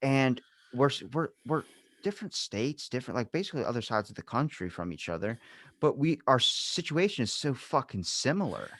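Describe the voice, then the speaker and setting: A man's voice: mid-range voice, sing-song, brisk, a bit bright, dry recording. Slangy man in dry, close podcast sound. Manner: slangy, informal, sweary. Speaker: podcast host. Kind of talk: casual podcast chat.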